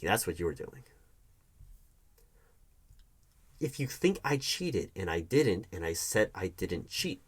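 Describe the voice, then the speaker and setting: A man playing a defensive, evasive hiring manager arguing with his ex in a one-sided audio roleplay, halting and repeating his words. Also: on the low side, slightly rough. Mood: resigned, firm. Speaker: roleplay performer.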